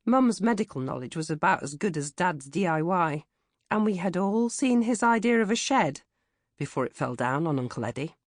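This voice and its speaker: Expressive British woman narrator, very lively intonation. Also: lowish voice, moderate pace, no room sound, studio quality. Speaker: author reading own audiobook. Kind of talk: scripted comic narration.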